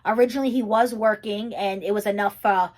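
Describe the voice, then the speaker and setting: A woman's voice: medium pitch, fairly even intonation, fairly quick, a little mellow. Young woman vlogging a q&a, rambly and chatty, lots of likes and ums. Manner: chatty, rambling with many fillers and restarts. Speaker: YouTuber and teacher. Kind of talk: casual chatty Q&A vlog.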